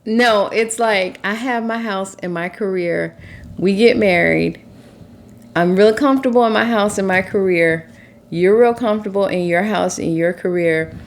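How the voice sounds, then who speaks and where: Woman in her forties, co-host and relationship coach, stuffy and nasal, chatty and quick to laugh. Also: medium pitch. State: stuffy nose from allergies. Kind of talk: casual conversational chat.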